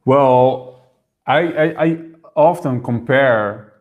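A man raised in the Netherlands, speaking as an interview guest and explaining politics quickly, often repeating words as he goes. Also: mid-range voice, narrow, tinny audio. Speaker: political party member, guest. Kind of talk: interview conversation.

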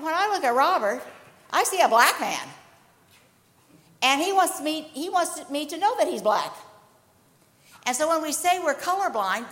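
A woman's voice: high-pitched, fairly bright tone. An older woman leading a church discussion in an earnest, emphatic sermon style, with light joking asides. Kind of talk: sermon-style panel hosting. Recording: long hall reverb.

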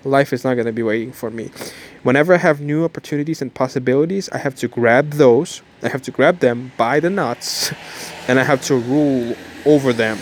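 A man's voice: medium pitch, fairly quick, slight background noise. A nineteen-year-old man vlogging casually and rambling through a repetitive motivational pep-talk outdoors. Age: young adult. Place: outdoors in the cold.

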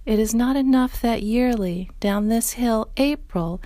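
A woman's voice: fairly high pitch, unhurried, warm tone, no echo. A woman reading a poem aloud as a recitation. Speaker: poetry reader.

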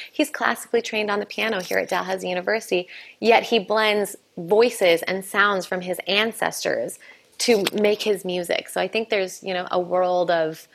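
A woman's voice: lowish voice, creaky, a bit bright. Earnest woman in a conversational interview. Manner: earnest, many restarts and fillers. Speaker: arts festival director.

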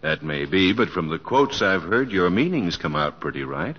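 Dignified president, animated, lowish voice. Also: male voice. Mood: earnest praise. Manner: measured, formal. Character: dignified older US president, gracious, earnest, professorial. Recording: dull noisy vintage radio recording.

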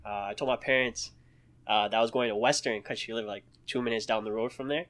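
A man's voice: medium pitch, fairly even intonation, fast, a bit bright. Laid-back young man telling stories. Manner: laid-back slang, laughs through stories. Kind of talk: casual storytelling chat.

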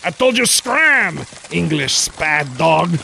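A man's voice: on the high side, sing-song, creaky, bright, forceful tone, slight background noise, full character voice. A man playing a haughty, insult-slinging nineteenth-century Frenchman with a put-on French accent in a comedic 1940s-style radio play. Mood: scornful, suspicious. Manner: scornful, drawn-out sneering insults.

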